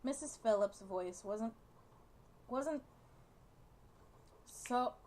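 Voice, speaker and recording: Young woman doing a game vid, reading out the text n chatting, bit high, slight noise. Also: extremely slow, a little mellow. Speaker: gaming video host. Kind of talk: casual chat and reading game text aloud.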